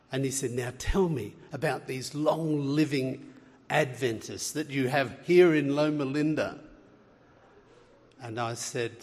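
A man's voice: a little high, a little mellow. A middle-aged man with an Australian accent, giving an earnest lecture to a church audience, with frequent fillers and restarts. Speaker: guest speaker, health researcher. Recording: long reverb of a large hall.

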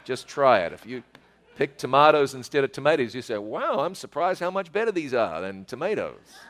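A man's voice: medium pitch, very animated, brisk, fairly bright tone. A middle-aged man with a New Zealand accent, telling childhood stories in a folksy, chatty way and speaking straight to the listener. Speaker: storyteller. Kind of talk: casual spoken reminiscence.

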